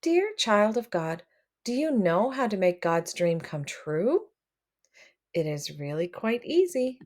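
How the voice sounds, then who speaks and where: A woman reads a children's picture book aloud in a mid-pitched voice with a very animated, swinging intonation. She is expressive and speaks straight to her young listeners as a storyteller would. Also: slow pace. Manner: expressive, addresses children directly.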